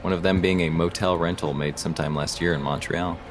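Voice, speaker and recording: An actor playing a terse, understated police detective in audio drama dialogue. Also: male voice, low voice, steady tone, fairly quick, lightly acted. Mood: businesslike. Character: male police detective working a murder case.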